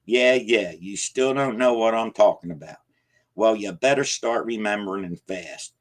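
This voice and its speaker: A man voicing an aging rural man who scolds in rough, folksy grammar, angry and frightened. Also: lowish voice, normal speed, natural voice. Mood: urgent, scolding. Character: gruff aging backwoods man, scared and angry.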